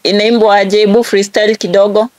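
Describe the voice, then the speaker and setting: Young kenyan lady host, normal pitch, chatting on a prank call show. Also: flat delivery, fast, darkish tone. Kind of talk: casual phone chat mixing Swahili and English.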